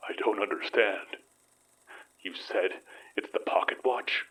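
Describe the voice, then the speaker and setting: A bereaved father in an intimate horror audio drama. His voice is very deep and breathy, and he speaks slowly and haltingly, weary with regret over his lost son. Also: pushed and bright, full character voice, rough recording. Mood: bewildered grief. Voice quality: husky, breathy low voice.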